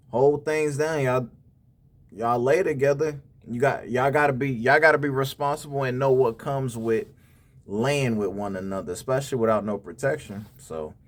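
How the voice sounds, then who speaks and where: A man in casual reaction-video commentary who talks like he is preaching, full of slang and direct advice. His voice sits at an ordinary middle pitch, and his lines often drop in tone as he gets serious. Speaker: reaction channel host.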